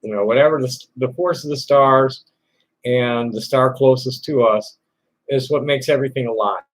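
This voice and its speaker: A man hosting a live call-in stream, rambling in a halting way with many fillers and restarts. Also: mid-range voice, low-quality recording. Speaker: livestream host answering chat questions. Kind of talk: rambling casual chat. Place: Tucson, Arizona.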